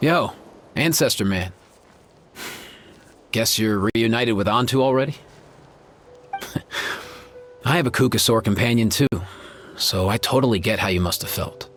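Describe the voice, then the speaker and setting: A man's voice: on the low side, expressive, a little slow, long pauses, a bit bright. A man playing an easygoing, sincere young creature veterinarian in a video game, speaking in casual slang. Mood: casual, respectful. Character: laid-back, caring young male creature veterinarian. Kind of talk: video game character acting. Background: faint music.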